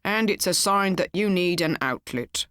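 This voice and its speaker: A woman voicing a kindly middle-aged husband, fussy, gently sceptical and reassuring, in an audiobook story. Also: on the low side, animated, normal speed, smooth, no echo, studio quality. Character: kindly middle-aged husband, cheery, solicitous, a bit dull.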